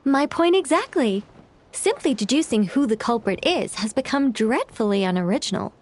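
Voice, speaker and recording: A woman's voice: a little high, expressive, normal speed. A woman playing an eccentric, theatrical novelist in a video game, speaking formally and with great self-assurance. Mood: matter-of-fact, musing.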